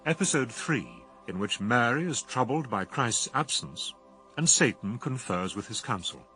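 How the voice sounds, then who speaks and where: A male announcer gives a scripted introduction to a radio programme. His voice is bright and of middle pitch, with soft music underneath. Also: animated, moderate pace, low-quality recording.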